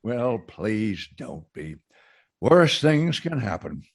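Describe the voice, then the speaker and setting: An actor playing a polite, awkward boss in a radio comedy, hesitant and courteous with a gravelly voice. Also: male voice, on the low side, big pitch swings, slow, heavily acted. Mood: reassuring. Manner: hesitant, courteous, hemming and hawing. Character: polite, awkward middle-aged male boss as guest. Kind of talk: old-time radio comedy acting.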